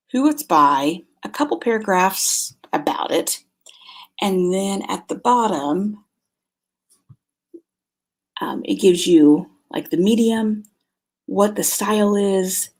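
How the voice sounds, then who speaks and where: A middle-aged woman speaks in a mid-range voice with a slightly rough, husky grain. Her delivery is casual and conversational, like a vlogger sharing recommendations. She often thinks out loud as she goes. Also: mid-range voice. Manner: chatty, conversational, thinking aloud. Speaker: homeschool vlog host. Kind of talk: casual informal product recommendations to camera.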